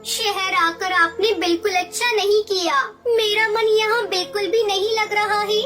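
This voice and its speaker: Woman voicing a very high-pitched earnest young bird wife. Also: fairly even intonation, unhurried, fairly bright tone, faint music behind. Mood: worried, protesting. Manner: earnest, pleading. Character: young female bird, devoted tender-hearted wife and daughter-in-law.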